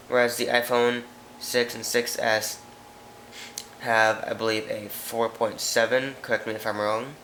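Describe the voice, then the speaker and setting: A man's voice: mid-range voice, a bit bright, slight background noise, rough recording. A man with a stuffy nose hosting a casual tech video, talking through phone specs off camera and correcting himself as he goes. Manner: chatty, reads specs, frequent self-corrections. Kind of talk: casual product walkthrough.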